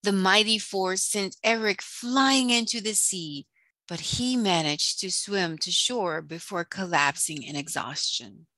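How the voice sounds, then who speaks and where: A woman narrating a scripted children's storybook in a deliberate read-aloud manner. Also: medium pitch, lively intonation, sharp tone, no room sound.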